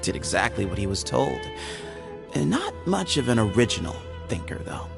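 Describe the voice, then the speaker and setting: A man voicing a sly, playful young man in a video game, teasing and evasive, with a relaxed manner. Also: medium pitch, normal speed, creaky, music in the background. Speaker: game character.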